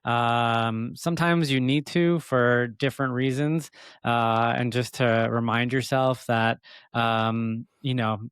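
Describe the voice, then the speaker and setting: Male podcast guest, middle-aged, smooth clear voice, earnest reflective storyteller, measured with pauses, dry sound. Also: medium pitch, steady tone, fairly bright tone, dry recording. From born in Egypt, emigrated as a child. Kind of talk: reflective personal storytelling in an interview.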